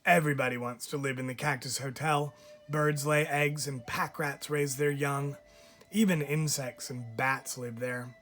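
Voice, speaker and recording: A man reads a picture book aloud for children in a measured, expressive storytelling style. He leaves deliberate pauses between phrases, like a teacher reading to pupils. Faint music plays behind his voice. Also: medium pitch.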